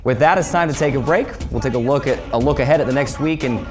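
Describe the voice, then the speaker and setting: A man's voice: mid-range voice, quick pace, over music. A man hosting a sports recap show with scripted presenter delivery. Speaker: sports show host, play-by-play announcer.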